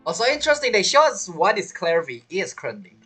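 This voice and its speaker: A woman streaming a video game with casual, bantering reaction commentary in a husky, expressive voice. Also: medium pitch, fast. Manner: expressive, bantering, repeats words. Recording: game music behind voice. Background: video game music.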